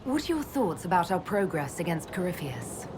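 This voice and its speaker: An actress playing a composed female military leader in a video game, questioning companions in a measured, dry way. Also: on the low side, very animated. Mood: curious, composed. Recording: clear background ambient noise.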